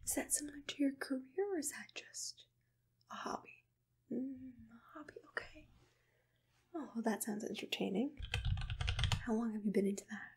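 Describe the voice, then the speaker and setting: A woman whispers softly and gently in an ASMR roleplay, asking questions in an attentive, breathy voice close to the microphone. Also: fairly high pitch, normal speed, long pauses. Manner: soft-spoken, gentle, attentive personal tone. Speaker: ASMR roleplayer playing a monster matchmaker. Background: computer keyboard typing.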